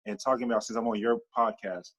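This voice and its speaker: A man speaking as a podcast guest in a casual interview, animated and stacking long repeated lists as he talks. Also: fairly low pitch, fast talker, breathy edge.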